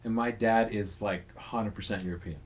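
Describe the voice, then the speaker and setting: A man's voice: fairly low pitch, steady tone, moderate pace. A man with a rich tone, chatting casually and agreeably as a podcast co-host. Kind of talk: casual chat.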